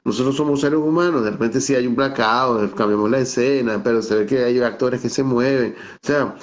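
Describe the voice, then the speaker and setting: A man's voice: medium pitch, brisk. A middle-aged man from the Venezuelan Andes, with a Táchira accent, speaking as a guest on an online call. He explains at length in a thoughtful way, restarts often and keeps adding "¿no?" as he talks. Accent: Venezuelan Andean (Táchira). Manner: thoughtful, many restarts and fillers, asks "¿no?". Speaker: theater director and guest speaker. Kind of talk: online talk, reflective conversational explanation. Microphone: video call. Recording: dull lo-fi call audio.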